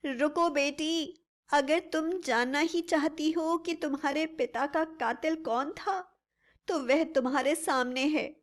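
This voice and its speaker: A woman playing a self-sacrificing serpent mother in a cartoon fairy tale, solemn and deliberate. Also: high-pitched. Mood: solemn confession.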